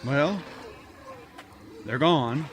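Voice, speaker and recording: A man's voice: a little high, very slow, a little hiss or hum. A man hosting a radio theater show with theatrical, scripted comedic introductions. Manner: theatrical, dramatic.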